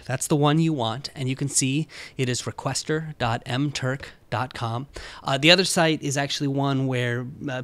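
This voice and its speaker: A man's voice: medium pitch, no room sound. A man presenting a casual screen-share tutorial, talking informally through each step.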